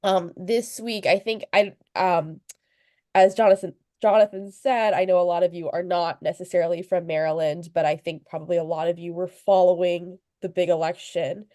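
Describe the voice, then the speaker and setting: Sniffly young woman reporter, low mellow voice. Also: steady tone, moderate pace, dry recording, clean studio sound. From Virginia, USA. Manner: chatty, fillers and restarts, light laughs. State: sniffly, under the weather. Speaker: local news reporter, guest speaker. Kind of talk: informal talk at a club meeting. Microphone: video call.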